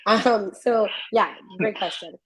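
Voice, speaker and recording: A woman with a hoarse, worn-out voice, organizer of the event, explaining it in an upbeat, friendly way as a guest on a video call. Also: medium pitch, slow, poor audio. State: hoarse, lost voice.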